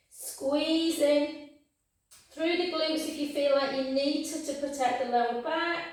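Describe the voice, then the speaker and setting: A croaky-voiced woman leads a pilates class, encouraging her students through each position. Her voice sits high and rings around an echoey room, heard from some distance. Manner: encouraging, calls students babe and guys. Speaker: pilates instructor. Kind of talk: live class instruction.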